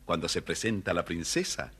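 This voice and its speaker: A man narrating a children's story from a script in an expressive, dramatic storytelling manner. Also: sits low, fairly quick, husky.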